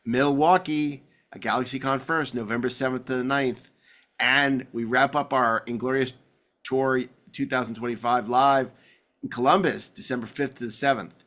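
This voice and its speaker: A man hosts a casual podcast in a medium-pitched voice. He sounds enthusiastic and a little wry. His talk rambles conversationally, as banter with co-hosts does. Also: steady tone, normal speed, a bit bright. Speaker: podcast host.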